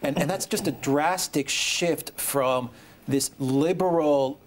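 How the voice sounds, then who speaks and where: Male commentator, 30s, fairly high voice, emphatic with repeated openers, panel discussion. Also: slow. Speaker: panel guest, political commentator. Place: television studio round table.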